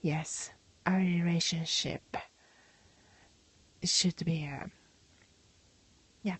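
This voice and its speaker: Husky, half-whispering woman. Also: fairly low pitch, fairly even intonation. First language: Japanese. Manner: soft, often half-whispered, halting with many fillers. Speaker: livestream host. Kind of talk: casual livestream monologue.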